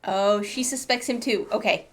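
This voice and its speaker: A woman's voice: medium pitch, moderate pace. A woman giving casual live gameplay commentary as a streamer, with playful, sceptical asides. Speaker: game streamer.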